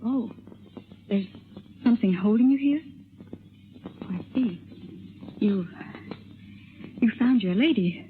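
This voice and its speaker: An earnest, lovelorn young heroine in a fairly high voice. Her delivery is melodramatic 1930s radio acting, heard through a tinny, band-limited old recording with faint noise. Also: animated, slow pace, long pauses. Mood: wistful, wounded. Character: devoted, heartbroken young woman warning her old love.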